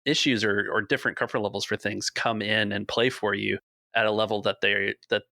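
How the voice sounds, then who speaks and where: A man's voice: lowish voice, level tone, a little fast, vocal fry, bright, forceful tone, dry recording, clean studio sound. A man who runs a podcast game, rambling through his answer with restarts on a panel. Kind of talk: casual panel discussion.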